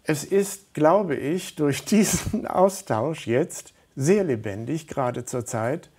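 A man's voice: a little high, lively intonation, slow pace, darkish tone, breathy edge. A middle-aged man speaking as a guest in a studio interview, earnest and hesitant, with many fillers. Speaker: studio guest, volunteer organizer.

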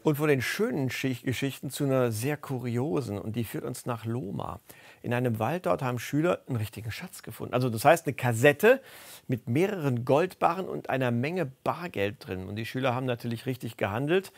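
A man presenting a regional news magazine in a relaxed, chatty way. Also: mid-range voice, a little fast, vocal fry.

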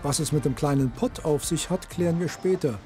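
A man reading a scripted TV report narration in a smooth, measured way. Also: a little high. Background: soft music.

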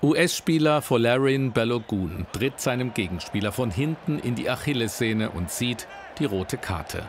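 A man of middle pitch reads a scripted news report as a voice-over. His delivery is measured and pointed. Faint ambient noise sits behind him. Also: expressive.

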